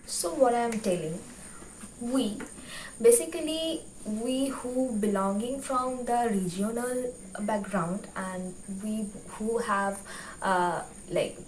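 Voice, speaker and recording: High-voiced young Bengali woman in roomy recording. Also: slow, darkish tone. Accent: Bengali Indian. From West Bengal, India. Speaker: YouTube host. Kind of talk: informal advice vlog to viewers. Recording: roomy, distant mic with faint noise.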